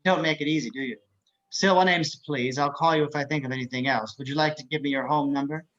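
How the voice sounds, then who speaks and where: A sly, courtly imprisoned psychiatrist acted by a man, slightly high in pitch and quick of tongue. He is precise and politely mocking, heard over a dull video call. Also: fairly even intonation, fairly bright tone, lightly acted. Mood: smooth, probing. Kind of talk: screenplay table read.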